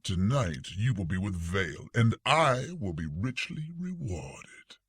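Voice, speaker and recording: A man's voice: low voice, big pitch swings, a little slow, a bit bright, breathy edge, full character voice. An actor playing a bloodthirsty male vampire in an audio drama, menacing and theatrical.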